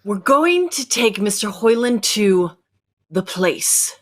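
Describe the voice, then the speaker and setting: A woman playing a knowing, imperious rebel captor who speaks deliberately and probingly in a radio sci-fi drama. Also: medium pitch, very animated. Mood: commanding. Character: commanding, knowing rebel captor challenging orthodox belief. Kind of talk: cold-read old-time radio sci-fi drama.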